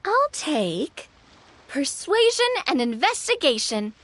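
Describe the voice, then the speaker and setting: High, expressive young adventurer. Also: female voice, takes it slowly, slight background noise, full character voice. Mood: confident. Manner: expressive, conversational. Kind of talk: video game character acting.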